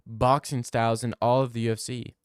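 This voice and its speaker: Young male streamer, loose profane banter, smooth voice, dry close sound. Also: mid-range voice, little pitch movement, a little fast, no echo. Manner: loose, profane banter, reads chat aloud and riffs. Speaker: streamer answering chat. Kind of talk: casual live-stream chat.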